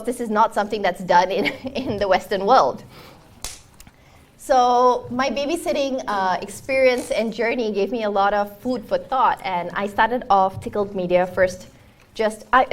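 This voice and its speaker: A Singaporean woman in her thirties gives a lunchtime conference talk. She speaks in a medium-pitched voice and tells her story casually. She acts out little exchanges of dialogue as she goes. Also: normal speed. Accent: Singaporean English. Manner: chatty storytelling with quoted dialogue and restarts. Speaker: conference speaker, startup founder.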